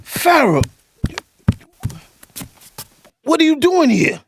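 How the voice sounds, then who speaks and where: A man's voice: very high-pitched, sing-song, moderate pace, heavy background noise, heavily acted. A man playing an evasive, defensive cheating boyfriend in an audio drama. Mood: startled.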